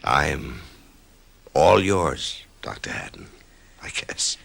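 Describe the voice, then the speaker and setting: A brooding middle-aged American in a radio mystery, with an extremely low, crackling voice. He tells his own grim story in a measured, introspective, halting way, and his pitch moves expressively. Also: expressive, a bit bright, heavily acted. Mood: uneasy, hesitant. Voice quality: gravelly. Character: brooding man facing death, narrating his own ordeal. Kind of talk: radio drama acting with first-person narration.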